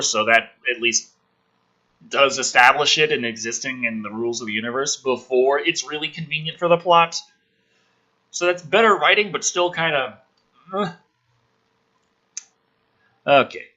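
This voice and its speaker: A man's voice: medium pitch, moderate pace, long pauses, bright, forceful tone, muffled recording. A man reading a script aloud with theatrical emphasis and incredulous, profane, exasperated commentary, as the host of a review show.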